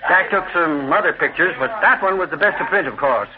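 An actor playing a boastful, excitable Irish-American newspaper reporter in 1940s radio style, with a colloquial, blustering manner. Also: male voice, on the high side, brisk, a crowd in the background, close to an everyday voice. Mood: matter-of-fact. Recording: noisy, tinny old radio recording.

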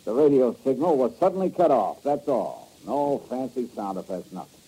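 An actor playing a wisecracking, tough insurance investigator who talks in a dry, sarcastic, clipped way in a 1950s radio drama. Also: male voice, medium pitch, animated, moderate pace, mellow, husky, rough recording. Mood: sardonic.

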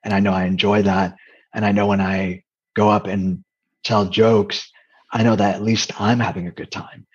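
A soft-spoken man with a breathy, slightly low voice talks openly in an interview. He hesitates often, restarting his sentences and leaning on fillers. The audio is dull and muffled. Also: level tone, moderate pace. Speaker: interview guest, comedian and dating coach. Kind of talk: candid conversational interview.

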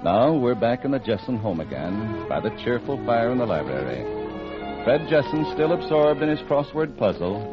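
Animated 1940s radio announcer man. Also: mid-range voice, moderate pace. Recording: muffled vintage radio recording with music.

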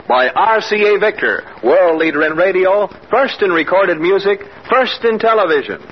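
High, husky old-time radio announcer man. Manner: showy, emphatic. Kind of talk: 1950s radio announcing, scripted sponsor reads. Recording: dull old radio broadcast.

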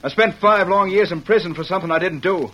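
An actor playing a wronged ex-convict cowboy in an old-time radio western, speaking with hard emphasis. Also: male voice, sits high, quick pace, a bit bright, highs cut off. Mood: bitter anger. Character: bitter, vengeful young cowboy, wronged ex-convict. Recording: noisy old radio recording.

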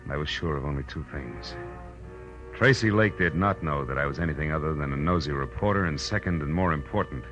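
A hard-boiled American gumshoe in an old radio drama, with a very low voice. He is cocky and sardonic, speaking in clipped, wry phrases. The recording is dull and vintage, with music behind it. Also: brisk, a bit bright. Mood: brooding, wry narration. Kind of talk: 1940s radio noir acting, first-person narration and dialogue.

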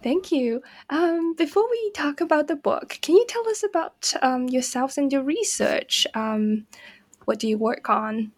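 A woman hosting an academic book interview podcast, speaking gently with hesitant fillers. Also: sits high, measured pace. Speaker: podcast host.

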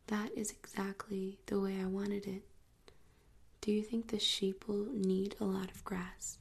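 A solemn little boy from another world asks softly and gravely, whispered by a woman reading a storybook. The voice is breathy and a little gravelly, held on a flat, even line. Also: mid-range voice, measured pace. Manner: soft, grave, insistent.

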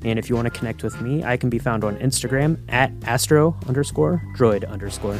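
A man's voice: mid-range voice, fairly quick, soft background music. A man co-hosting a podcast in casual fan chat, with a rambling, hesitant way of talking. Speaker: podcast co-host.

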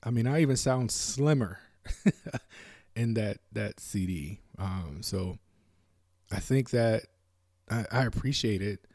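A man from the United States hosting a podcast, talking alone in a reflective, halting way with many fillers and restarts. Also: fairly low pitch, gravelly, a little mellow, dry recording, studio quality. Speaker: podcast host. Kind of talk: reflective solo talk.

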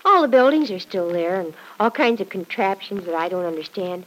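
A woman's voice: medium pitch, animated, fairly quick, close to an everyday voice, low-quality recording. A woman playing a cheerful, devoted young farm girl working as a housemaid in a classic radio drama, earnest and artless with folksy exclamations. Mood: wistful, earnest.

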